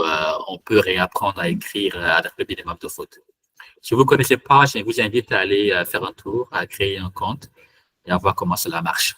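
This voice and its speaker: A man's voice: deep voice, fairly bright tone, slight background noise, low-quality recording. A man from Cameroon hosting a live online tech meetup, talking hesitantly with many fillers and restarts. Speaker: meetup host and trainer.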